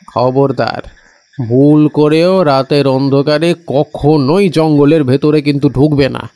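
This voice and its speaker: A man playing an evasive village local who knows dark secrets, talking hesitantly and coaxingly in an audio horror story. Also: medium pitch, no echo, natural voice.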